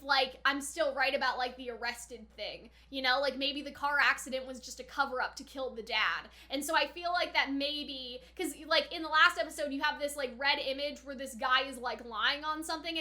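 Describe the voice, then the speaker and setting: High, bright-voiced woman chatting casually. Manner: informal, speculative, frequent "like" and "right?". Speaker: reaction video host. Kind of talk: casual anime reaction and commentary.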